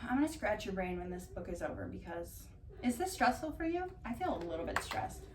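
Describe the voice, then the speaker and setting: A woman doing a casual ASMR-style storytime, reading aloud and drifting into dry, deadpan asides. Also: mid-range voice, a little fast. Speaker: cat vlogger reading bedtime picture books aloud. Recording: distant mic, roomy background noise.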